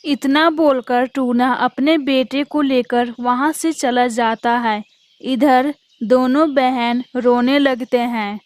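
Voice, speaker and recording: Woman, scripted cartoon story narration, high-pitched, unhurried, dry recording. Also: steady tone, no echo.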